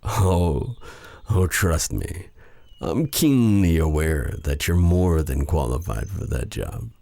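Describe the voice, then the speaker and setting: A man playing a brooding, remorseful dark sorcerer in an intimate fantasy ASMR roleplay, speaking formally and haltingly to the listener in a husky, breathy voice. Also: very deep voice, animated, no room sound, full character voice. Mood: tender, hesitant. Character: brooding, remorseful dark sorcerer, bitter yet tender captor.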